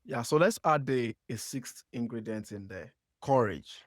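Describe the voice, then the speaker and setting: A man's voice: medium pitch, expressive, a little slow, dry recording, studio quality. A man with a Nigerian accent hosting a marketing podcast, asking long questions with lots of "uh" and restarts. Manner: hesitant, many fillers and restarts when asking questions. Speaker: podcast host. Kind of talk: conversational interview.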